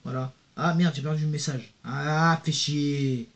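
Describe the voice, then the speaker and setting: A young man holds forth to his camera in a casual, slangy rant. His voice sits a bit high for a man, and he keeps turning to the listener, stumbling into restarts and fillers as he goes. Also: unhurried. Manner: animated, slangy, addresses viewer with "tu vois" and "gros"; reads out hostile comments, many fillers and restarts. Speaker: YouTuber.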